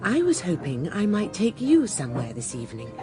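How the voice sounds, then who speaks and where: An actress playing a genteel, charming young English widow in a radio drama, polite, refined and a little coy. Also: female voice, on the low side, huge pitch swings, a little fast, music in the background. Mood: coy, pleased.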